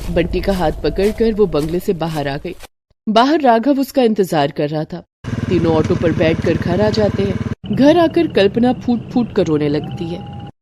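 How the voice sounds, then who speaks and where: A woman's voice: on the low side, noisy background. A woman narrating a scripted moral story as a storyteller.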